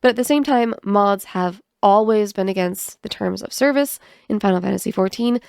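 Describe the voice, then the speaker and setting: A woman's voice: mid-range voice, moderate pace, gravelly, a little mellow, clean tone, no room sound, studio quality. A woman hosting a gaming commentary video, sick and congested, with a cough drop in her mouth, chatting casually with self-mocking asides. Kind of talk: casual commentary and reading aloud.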